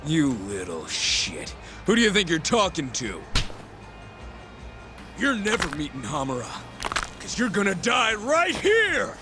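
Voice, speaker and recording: An actor playing an aggressive, foul-mouthed yakuza thug in a video game, with a snarling, threatening manner. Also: male voice, high voice, huge pitch swings, unhurried, long pauses, over music, exaggerated voice. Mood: enraged.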